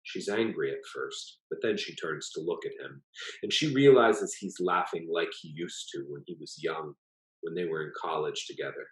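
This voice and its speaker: A man reading his own short story aloud as an author, in a measured, expressive narrating manner. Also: very deep voice, roomy sound, poor audio.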